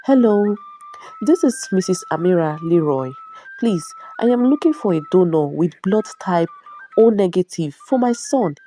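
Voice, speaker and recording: Woman playing distraught mother, pleading, emotional, swooping pitch, storybook drama, faint music. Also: animated, normal speed, mellow, natural voice. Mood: urgent, anxious. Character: desperate, tearful young mother pleading for her son.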